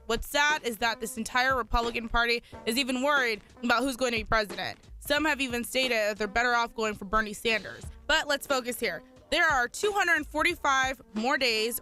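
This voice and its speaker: A woman's voice: a little high, bright, forceful tone. A woman in her twenties with an American accent, hosting a radio show with scripted, sassy, opinionated political commentary. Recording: music bed behind voice.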